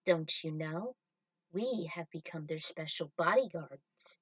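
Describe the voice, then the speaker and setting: Woman playing smug, taunting traitor, lower-voiced. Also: slow, no echo, recorded from a distance, highs cut off. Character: smug, sneering female bounty hunter turned traitor.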